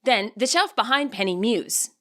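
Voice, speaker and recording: Female audiobook narrator, high and bright, expressive storytelling with lively intonation. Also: normal speed. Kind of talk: scripted story narration, present tense.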